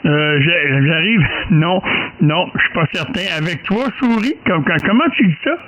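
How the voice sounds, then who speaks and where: A man with a fairly high voice talks on an amateur radio link. He jokes around and keeps stopping to restart his sentences. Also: lively intonation, moderate pace, dull audio, noisy background. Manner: hesitant, joking, many restarts. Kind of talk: casual radio chat.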